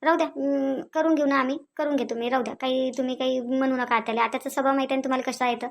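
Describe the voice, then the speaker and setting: A woman voicing a dutiful, gentle young wife and mother in a family cartoon, soft and coaxing, with a Varhadi Marathi accent. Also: sits high, fairly even intonation, racing. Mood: dutiful, anxious. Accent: Varhadi Marathi of Vidarbha.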